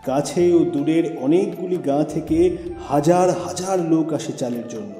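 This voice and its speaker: Dark-voiced male storyteller in heavy reverb. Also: on the high side, measured pace. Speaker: audio story narrator and reader. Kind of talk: dramatic scripted narration of a Bengali short story. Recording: echoey reverberant room with music bed. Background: background music.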